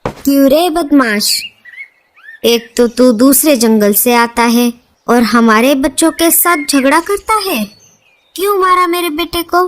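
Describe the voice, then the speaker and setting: Woman voicing a high-pitched motherly cartoon bird. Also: a little slow, a little hiss or hum. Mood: scolding. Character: anxious female bird mother, tender and protective. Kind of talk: Hindi children's cartoon dubbing.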